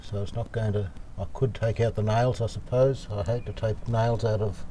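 An older man calmly talking through a restoration job outdoors, thinking aloud as he works. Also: on the low side, slight background noise. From Australia. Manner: thinking aloud, calm and unhurried, plain-spoken with fillers. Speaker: radio restorer, channel host. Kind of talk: casual hands-on workshop narration. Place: outdoor verandah in the garden.

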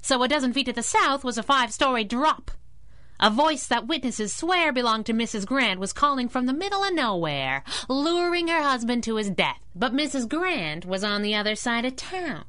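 Young female crimefighter, wisecracking, sassy, slangy, lively pitch, 1930s radio drama style, dry studio sound. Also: normal speed, dry recording. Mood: tough, needling. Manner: sassy, slangy, tough-talking.

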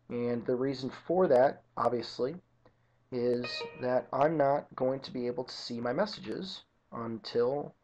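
A man narrating an informal software tutorial as an instructor, explaining each step methodically. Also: medium pitch. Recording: dull lo-fi computer screen-recording audio.

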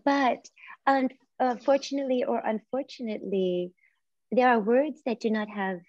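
Soft-spoken woman, Japanese first language. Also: a little high, steady tone, no room sound. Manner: soft, reflective, halting with many fillers and restarts. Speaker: visual artist guest. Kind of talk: video call interview. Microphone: laptop or phone camera on video call. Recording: muffled lo-fi video call audio.

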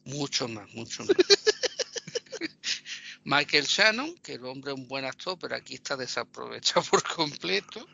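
A man with a gravelly voice, co-hosting a podcast and mocking a film in sarcastic casual chat, laughing often. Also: medium pitch, very animated, a little slow, pauses often, bright, forceful tone, no echo. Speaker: podcast co-host. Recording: dull lo-fi podcast audio.

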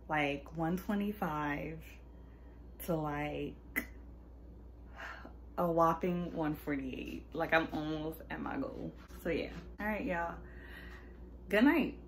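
A woman's voice: sits low, takes it slowly, pauses often, a little hiss or hum, rough recording. A young adult woman with an American accent, chatting casually to camera in an informal, slangy way as a vlogger.

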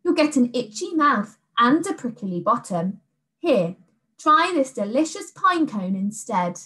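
Young girl, plucky and persuasive, high lively voice; woman reads her. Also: a little slow. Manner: bright, bossy, persuasive. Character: quick-thinking brave young girl.

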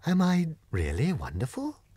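A man's voice: a little high, wildly swooping pitch, moderate pace, dark tone, slightly rough, no echo, voice pushed up, exaggerated voice. A man voicing a naive, earnest straw scarecrow with an eager, wondering manner in a storybook reading. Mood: proud, wondering.

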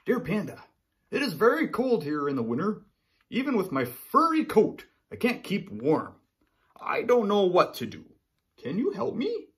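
A man reading a children's storybook aloud and voicing a lonely, gentle male polar bear who pleads in his letters. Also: sits high, wildly swooping pitch, a little slow, pitched above the performer's own voice, full character voice. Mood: pleading.

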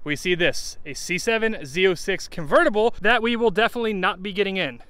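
A man's voice: high voice, pushed and bright, smooth, no room sound. A man with a Texan accent walking an outdoor salvage lot, giving wry, candid commentary on wrecked cars. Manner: wry, candid, quick asides. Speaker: salvage car buyer and vlogger. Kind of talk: casual walkaround commentary. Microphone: handheld camera at arm's length.

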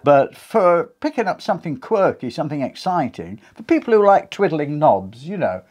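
A man's voice: on the high side, sing-song, normal speed, darkish tone. A middle-aged British man in his fifties, hosting a casual talk to camera about radio, recorded on a lapel mic in a small home studio. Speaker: video host. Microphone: clip-on lapel mic.

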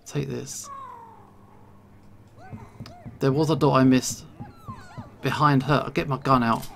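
Nervous gamer with a creaky voice. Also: mid-range voice, fairly even intonation, normal speed, slight background noise. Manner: nervous, jittery self-talk. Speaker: video game streamer. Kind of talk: let's play commentary.

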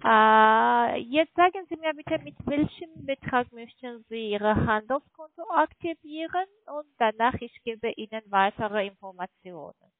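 A woman telemarketer with a foreign accent, not a native German speaker, making an insistent, halting phone sales pitch and often checking for agreement. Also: expressive, dry recording. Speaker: call centre telemarketer selling online trading. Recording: muffled phone line, fluctuating microphone volume.

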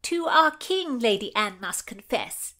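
A high-pitched, nasal lady of the Tudor court, acted by a woman narrator. She is insistent and accusing, with a show of false concern, as in a historical storybook. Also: animated, a little slow, bright, forceful tone. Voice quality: nasal twang.

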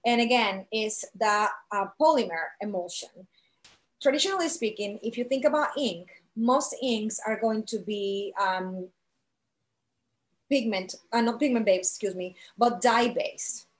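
Woman instructor explaining in halting phrases. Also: medium pitch. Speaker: art college instructor giving a product demonstration. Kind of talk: live instructional demo, explanatory.

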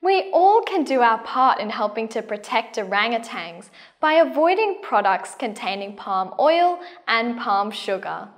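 Young woman, science presenter. High-pitched, very animated intonation, careful scripted delivery. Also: a little slow, few pauses. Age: young adult. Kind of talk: scripted educational narration to camera. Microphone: lapel.

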